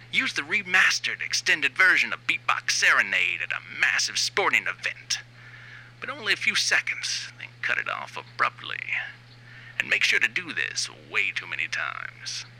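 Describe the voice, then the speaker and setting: Man acting a sharp, brash, gravelly rock frontman. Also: medium pitch, exaggerated voice, rough recording. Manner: sardonic, emphatic, profane, ranting. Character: brash, cynical, money-hungry aging new wave rock frontman. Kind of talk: satirical dramatic monologue.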